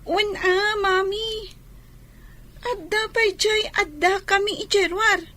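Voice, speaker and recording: Casting: woman to play a worried little kid, piping high and bright, Ilocano Filipino accent, radio play. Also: fairly even intonation, takes it slowly, exaggerated voice. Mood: questioning. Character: young child anxious about absent father, devoted to mother. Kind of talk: Filipino radio comedy-drama acting.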